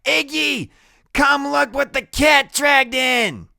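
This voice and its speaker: A man doing a shrill, slurring drunk woman. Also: slow, vocal fry, bright, forceful tone, dry recording, clean studio sound, full character voice. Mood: shrill greeting. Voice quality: slurred, giggly. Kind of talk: audiobook character voice.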